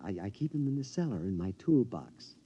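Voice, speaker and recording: An actor playing a middle-aged henpecked husband, excitable and stammering with giggles, in a 1950s radio drama. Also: male voice, on the low side, brisk, slightly rough, highs cut off. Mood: pleased.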